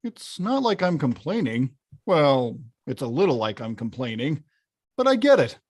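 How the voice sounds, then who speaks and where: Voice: medium pitch, very animated, normal speed, darkish tone. An actor playing a huge, hot-tempered, weary arcade-game villain who confides wryly and grumbles conversationally in a table read. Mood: resigned, wistful. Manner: conversational, grumbling, bursts into bellows. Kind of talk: table-read acting, confessional voice-over.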